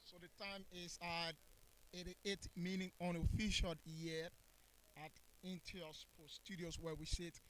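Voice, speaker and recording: A man's voice: high-pitched, flat delivery, measured pace, fairly bright tone, rough recording. A man giving live sports commentary as a football co-commentator. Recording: faint background noise.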